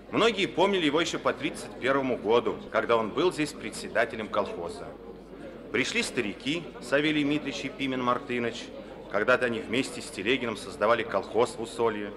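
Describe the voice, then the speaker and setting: A man narrating a Soviet radio play as a storyteller, in a measured literary manner. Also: medium pitch, close to an everyday voice. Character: male storyteller narrating village events. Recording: crowd murmur behind the voice.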